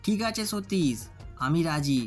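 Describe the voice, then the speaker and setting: A man's voice: fairly high pitch, animated, a little slow. A man playing a greedy, two-faced, suspicious business partner in a cartoon story. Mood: agreeable. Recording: music under the voice.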